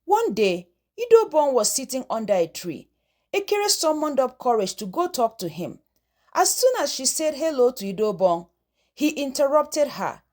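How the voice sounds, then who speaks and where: Animated high-voiced woman telling a story. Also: moderate pace. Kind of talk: scripted folktale narration.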